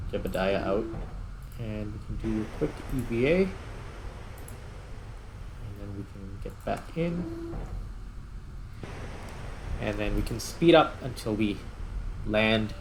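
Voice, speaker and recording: A man giving casual gameplay commentary, thinking aloud in short, halting remarks. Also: lowish voice, big pitch swings, slight background noise, poor audio. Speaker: gaming host.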